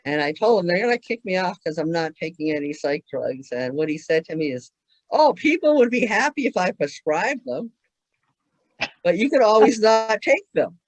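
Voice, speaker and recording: A man's voice: high-pitched, big pitch swings, moderate pace, no echo, low-quality recording. A man talking on a video call as a conference panelist, earnest and thinking aloud with restarts. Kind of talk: conversational talk at an online conference.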